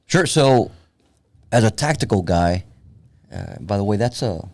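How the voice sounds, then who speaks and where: Wry low-voiced man in his fifties. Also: animated, a little slow, a little mellow. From San Antonio, Texas. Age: 50s. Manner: wry, self-deprecating, plain-spoken. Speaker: guest, tactical police trainer. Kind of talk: casual podcast conversation.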